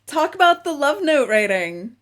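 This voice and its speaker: A woman's voice: high voice, sing-song, moderate pace, a bit bright. A woman hosting an interview, asking questions and giving short, encouraging replies. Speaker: interview host. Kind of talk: casual interview chat.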